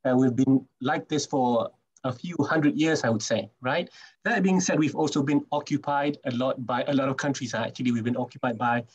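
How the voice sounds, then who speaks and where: A man's voice: mid-range voice, normal speed, breathy edge. A man from Malaysia, a Malay speaker with a Malaysian English accent, giving a chatty talk as an English teacher, often restarting words and using "you know". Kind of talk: presentation on a video call. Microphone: video call mic. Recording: dull lo-fi video call audio.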